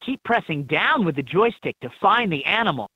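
A woman with a husky voice reading scripted prompts as an encouraging guide in a children's game, on dull toy audio. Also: lowish voice, big pitch swings, a little fast, no room sound.

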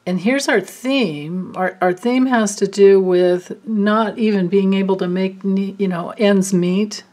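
A woman doing a card reading, thinking aloud with many pauses and fillers. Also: mid-range voice, a little mellow. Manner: slow, musing, thinking aloud. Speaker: card reader. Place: indoors at a table, hands and cards filmed from above.

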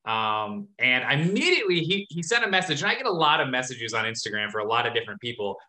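A Midwestern man hosts an interview over a video call, his voice sharp and bright. The room adds plenty of echo, and he rambles cheerfully with lots of fillers. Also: mid-range voice, very animated, fast talker. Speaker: YouTube host and interviewer. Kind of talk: casual interview chat. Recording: echoey room.

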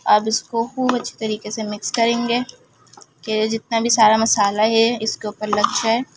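A woman's voice: fairly high pitch, flat delivery, normal speed, noisy background. Patient woman narrating a home recipe. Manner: homely, repeats "ठीक है" and "ये देखें", explains patiently. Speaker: home cooking channel host. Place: home kitchen.

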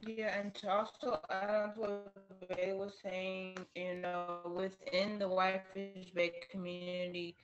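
A woman student on a panel, speaking slowly and hesitantly over a video call, trailing off at the end. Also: mid-range voice, little pitch movement. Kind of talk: casual panel comments. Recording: dull lo-fi audio with faint guitar.

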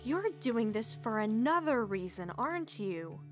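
Loyal young village archer, acted by a woman: female, slightly high, self-assured, teasing, audio drama. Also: big pitch swings, unhurried, a bit bright, soft background music. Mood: concerned, gentle.

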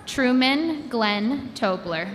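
A woman reading graduates' names one by one at a commencement ceremony, announcing each name with a measured pause through a podium microphone. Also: on the high side, expressive. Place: large indoor gymnasium. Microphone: podium microphone over a PA system. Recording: long hall reverb with crowd noise. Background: crowd ambience.

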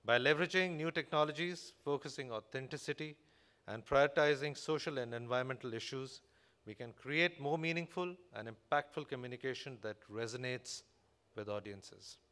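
Male corporate executive, Indian accent, mid-pitched voice, formal and measured delivery of a prepared conference address from a podium. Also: fairly bright tone. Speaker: corporate executive giving a keynote speech. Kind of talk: prepared conference presentation read from a script. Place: large hotel conference hall, on stage at a podium.

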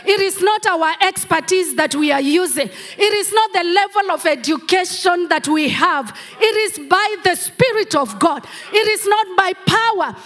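A woman's voice: very high voice, lively intonation, moderate pace, few pauses, fairly bright tone. A middle-aged Kenyan woman whose first language is Kamba, preaching a sermon from a church stage into a handheld mic, with emphatic repeated phrases. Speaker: pastor. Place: large church hall, on stage.

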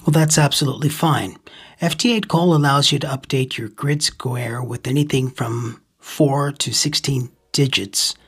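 A man narrating an explanatory screen-recording video as host, in a measured, deliberate manner with a husky, gravelly voice. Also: mid-range voice. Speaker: ham radio video host.

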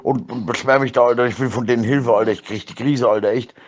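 A man's voice: medium pitch, fairly even intonation, fast, muffled recording. Rambling middle-aged German man. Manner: rambling, slangy, repeats himself. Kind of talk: casual private voice messages.

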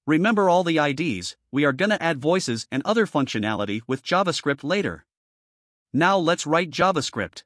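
A man's voice: fairly high pitch, animated, normal speed, fairly bright tone, no echo, studio quality. A man presenting a programming tutorial in scripted step-by-step narration.

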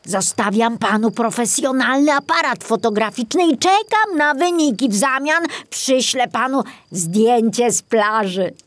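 Kindly old forester for a storybook female performer, folksy and reassuring, animated, unhurried. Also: fairly high pitch, pitched above the performer's own voice.

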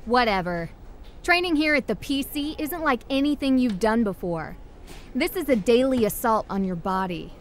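Cocky rival wrestler in a game, played by a woman, sneering, slightly high voice, faint hiss behind. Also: expressive, moderate pace, natural voice. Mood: dismissive. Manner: dismissive, taunting. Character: cocky tough female wrestling trainee rival.

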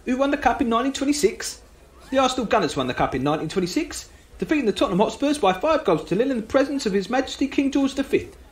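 A man's voice: very high-pitched, very animated, fast, voice pushed up. A man voicing a pompous, formal music-hall memory man, declaiming in a homemade radio play. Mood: proud, declaiming.

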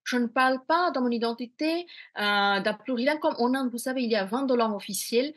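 A woman's voice: a little high, quick pace, a bit bright, breathy edge. A middle-aged woman from India whose first language is Bengali, answering interview questions on a video call from a small room at home, reflective, with many fillers and restarts. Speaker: author.

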